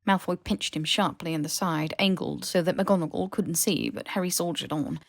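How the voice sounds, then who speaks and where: A woman reading fiction aloud as an audiobook narrator, in an expressive scripted storytelling style. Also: lowish voice, normal speed, dry recording, clean studio sound. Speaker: podfic narrator.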